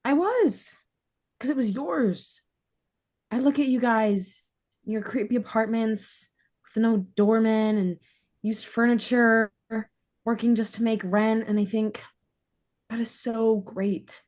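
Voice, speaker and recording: A woman's voice: fairly high pitch, takes it slowly, pauses often, a little mellow. A woman reading the part of a spoiled, sheltered young woman in a sitcom script, earnest and a little whiny. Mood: earnest, pleading. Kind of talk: sitcom script table read.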